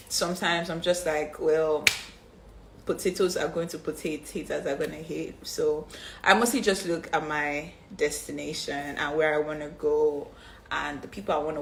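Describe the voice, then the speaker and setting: Young Nigerian woman in her twenties on a phone live stream, low-pitched, chatty and unhurried, reading questions then rambling through answers. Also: a little hiss or hum, rough recording. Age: 20s. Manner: reads fan questions aloud then answers chattily with many ums. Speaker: reality show contestant answering fan questions.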